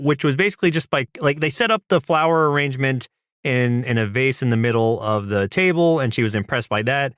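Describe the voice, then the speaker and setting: A man's voice: mid-range voice, fairly bright tone, dry recording, studio quality. A man co-hosting a podcast, recapping in casual, rambling chat and acting out bits of dialogue. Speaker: podcast co-host.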